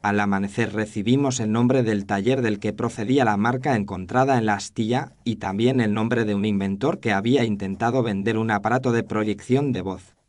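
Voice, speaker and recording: A man's voice: on the low side, fairly even intonation, a little fast, darkish tone, natural voice. A man narrating as a thoughtful doctor recounting a case in an audio drama, in a reflective, literary manner. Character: thoughtful male doctor narrating his memoir. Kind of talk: scripted narration.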